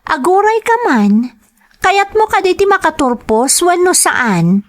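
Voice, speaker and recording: A woman's voice: very high-pitched, big pitch swings, unhurried, full character voice. A woman with an Ilocano accent playing a dutiful, sheltered young college student in an audio drama. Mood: chiding, earnest.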